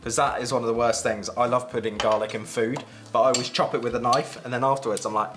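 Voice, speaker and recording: A young British man co-hosting a casual kitchen gadget test, chatting with animated enthusiasm while he demonstrates. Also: mid-range voice, fast, faint music behind. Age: young adult. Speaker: co-host. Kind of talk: casual product-testing chat. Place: indoor home studio set at a table.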